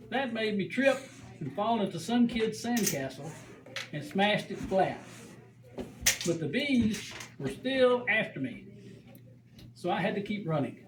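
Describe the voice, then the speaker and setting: An excitable puppet character acted by a performer in a children's church show. The character spins dramatic, exaggerated stories. The sound is distant and echoey, with faint noise behind it. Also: mid-range voice, natural voice. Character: excitable puppet named Rocky, adventurous, prone to exaggerate. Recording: roomy, distant mic, faint background noise.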